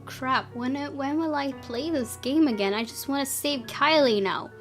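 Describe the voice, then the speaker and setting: A woman's voice: sits high, moderate pace. A young woman giving let's-play commentary on a visual novel, reacting emotionally and talking to herself as she plays. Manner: emotional, reacts loudly and talks to herself while playing. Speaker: gaming YouTuber. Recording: music playing from the game behind.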